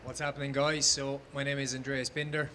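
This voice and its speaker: Flat-toned young fighter. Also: male voice, medium pitch, a little fast, a little hiss or hum. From Romania. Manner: relaxed, casual, laid-back slang.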